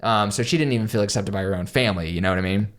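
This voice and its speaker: A man's voice: fairly low pitch, very fast, fairly bright tone. A young man from South Carolina, a podcast guest, telling candid, self-deprecating stories in casual conversation. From South Carolina, USA. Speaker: podcast guest, pro skateboarder.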